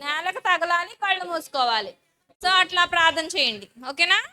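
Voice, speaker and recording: A woman's voice: very high-pitched, lively intonation, fairly quick, sharp tone. A woman, a Sunday school teacher instructing and leading children firmly in short phrases.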